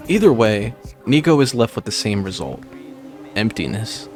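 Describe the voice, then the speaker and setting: A man reading a scripted video essay in a dry, wry, measured way. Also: on the low side, big pitch swings. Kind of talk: scripted narration. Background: background music.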